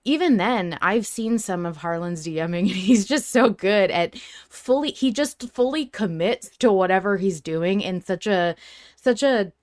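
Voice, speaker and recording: A woman co-hosting a podcast, talking casually in an earnest, expressive and reflective way about a story. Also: medium pitch, unhurried, no room sound, clean studio sound. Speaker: podcast co-host. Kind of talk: casual fan discussion of a story.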